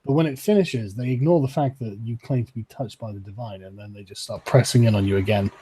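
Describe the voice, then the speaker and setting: A man's voice: fairly low pitch, quick pace, dark tone, slight background noise. A man narrating a tabletop game as game master, laughing often as he describes scenes.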